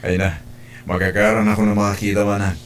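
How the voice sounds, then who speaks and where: A man's voice: fairly low pitch, level tone, fast talker, natural voice. A man with an Ilocano Filipino accent playing a betrayed younger brother back from working abroad in an audio drama. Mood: eager, affectionate. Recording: music under the voice.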